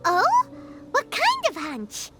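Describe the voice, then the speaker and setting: A tiny, indignant fairy girl from an anime game. The voice is extremely high and swoops wildly, chattering in exclamations over prominent music. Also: huge pitch swings, slow pace, exaggerated voice. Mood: chatty, urgent. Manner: exclamatory, refers to self in third person. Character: tiny childlike female fairy companion, feisty, talkative, indignant. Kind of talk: English anime game dub acting.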